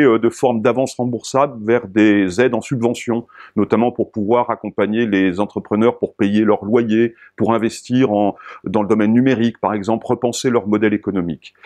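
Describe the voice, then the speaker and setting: Frenchman explaining with frequent searching pauses. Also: mid-range voice, steady tone, a little mellow. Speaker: expert spokesperson for local government association. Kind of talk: explanatory talk in an informational video.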